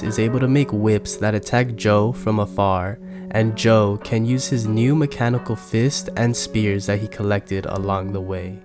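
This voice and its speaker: A man reading scripted narration as a video game lore explainer. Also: fairly low pitch. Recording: game music under the voice.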